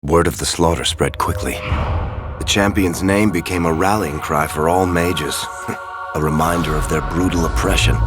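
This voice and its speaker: Man acting a deep-voiced wry roguish storyteller. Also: close to an everyday voice. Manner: wry, knowing, measured with dramatic pauses. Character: roguish dwarf storyteller, wry companion of a hero. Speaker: narrator. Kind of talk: dramatic scripted storytelling narration. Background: music.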